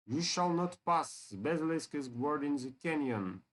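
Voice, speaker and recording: A man's voice: on the high side, lively intonation, moderate pace, a bit bright, voice pushed up. Man reading as a stubborn basilisk guard. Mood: stern. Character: gruff, obedient giant basilisk guarding a canyon.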